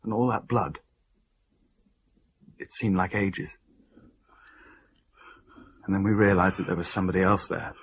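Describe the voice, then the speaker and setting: An actor playing a shaken young husband who recounts events haltingly, as a monologue in a radio play. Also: male voice, low-pitched, muffled recording, slight background noise. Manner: halting, haunted storytelling.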